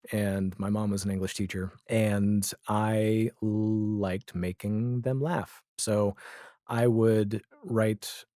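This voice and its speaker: A low, mellow male voice in his forties, recorded very dry. He chats as an interview guest, reflective and wry, in a casual conversational style. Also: no echo. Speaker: interview guest, comedy and audio drama writer.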